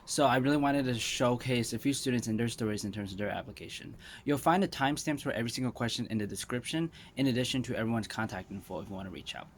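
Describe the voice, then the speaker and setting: Young guy host, fast and upbeat, even-pitched voice. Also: slight background noise. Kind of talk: direct-to-camera intro.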